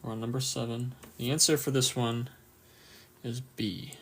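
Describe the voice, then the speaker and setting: A man with a croaky, just-woken-up voice runs a casual live quiz for anatomy students, reading answer options and cheering on viewers' replies. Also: medium pitch, flat delivery, moderate pace. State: just woke up, a bit tired. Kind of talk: casual livestream quiz review.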